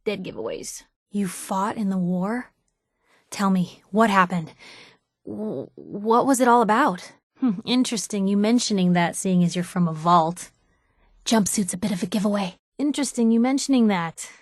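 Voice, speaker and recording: A woman's voice: medium pitch, no room sound, natural voice. Woman acting a plucky young female reporter, video game companion, wry, teasing, conversational, very dry close sound. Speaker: companion character voice actor.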